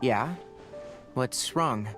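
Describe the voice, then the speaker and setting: An actor playing a calm, matter-of-fact young scholar in a video game, explaining things in a measured, dry way. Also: male voice, mid-range voice. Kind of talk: video game character acting. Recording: music behind the voice.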